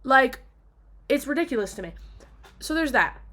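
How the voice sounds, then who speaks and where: A woman with a somewhat high voice delivers a casual, opinionated rant straight off the cuff. She sounds exasperated and leans hard on her points, with swearing dropped in loosely. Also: lively intonation, moderate pace, a bit bright. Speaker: video diarist.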